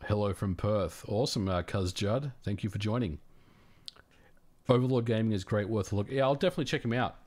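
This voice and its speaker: A fairly low-voiced Australian man in his thirties chats easily with his viewers on a livestream. His talk is friendly and unhurried, with plenty of "um"s and restarts as he answers questions. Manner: relaxed, friendly, frequent fillers and restarts. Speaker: livestream host. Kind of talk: casual livestream chat reading viewer questions.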